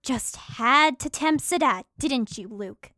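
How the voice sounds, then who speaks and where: A woman plays a protective fantasy heroine with a high voice, recorded very dry. She is bold and sarcastic, speaking in a pointed, challenging way in an audio drama. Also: lively intonation, slow pace, bright, forceful tone, dry recording, full character voice. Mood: wary.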